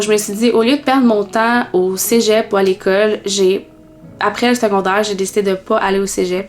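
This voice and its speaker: A woman in her twenties with a Québécois accent, telling her personal faith story in a vlog with many pauses and fillers. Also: medium pitch. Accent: Québécois French. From Quebec, Canada. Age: 20s.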